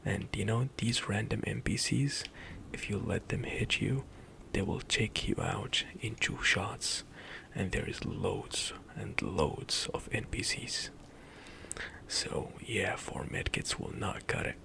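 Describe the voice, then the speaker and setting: A young man whispering softly in a slow ASMR ramble over gameplay, close to the mic, at home. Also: on the low side, lively intonation, vocal fry. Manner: soft whispered rambling with light laughs. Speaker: ASMR gaming streamer. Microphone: close studio mic, headset on.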